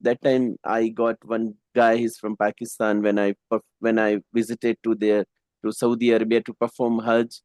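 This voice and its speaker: A man from India, chatting casually as a practice partner, relaxed and hesitant with many fillers. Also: fairly low pitch, normal speed, no room sound. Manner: relaxed, hesitant, frequent "you know" fillers. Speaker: English conversation partner.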